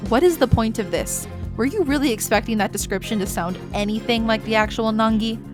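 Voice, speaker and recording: A sharp female rabbit prosecutor speaks curtly and dismissively, as a woman plays her. Clear music plays behind her. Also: on the high side, brisk, natural voice. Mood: cutting. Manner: curt and cutting. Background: background music.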